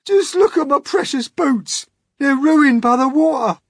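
A man's voice: very high-pitched, expressive, measured pace, no room sound, studio quality, voice pushed up, exaggerated voice. A man voicing a vain, melodramatic centipede in a storybook, gasping and exclaiming shrilly. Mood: outraged wailing.

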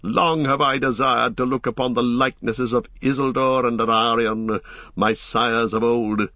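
A man voicing a proud, solemn ranger-king in a storybook reading, with a stately, declamatory delivery and a gravelly voice. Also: medium pitch, fairly even intonation, normal speed, bright, forceful tone, no echo.

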